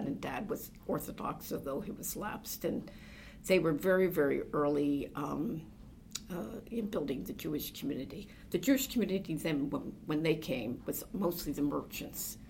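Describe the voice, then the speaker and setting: A woman's voice: low-pitched, gravelly, a little hiss or hum. An older woman from New Jersey, reminiscing in an oral history interview, telling meandering, reflective stories full of fillers. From New Jersey, USA. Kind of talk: reminiscing conversation.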